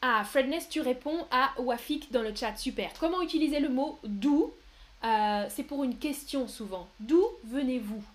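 Brief: young woman, French teacher on a live stream, high voice, unhurried and clear delivery. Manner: slow, clear and patient, often reading chat names aloud. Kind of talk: live-streamed language lesson answering chat.

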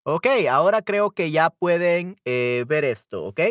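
A man's voice: fairly high pitch, lively intonation, a little slow, fairly bright tone, dry recording, clean studio sound. A young Salvadoran man with a Salvadoran Spanish accent, teaching Spanish in a friendly, chatty live stream. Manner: chatty and friendly, mixes in English asides to himself. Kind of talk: casual live-stream lesson.

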